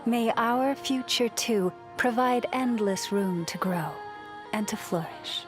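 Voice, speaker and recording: A woman voicing a gentle, serene moon priestess in a video game, speaking softly and soothingly with a reverent manner. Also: on the high side, music in the background. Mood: calm, reflective. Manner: soft, soothing, unhurried, reverent. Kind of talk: scripted video game character voice lines.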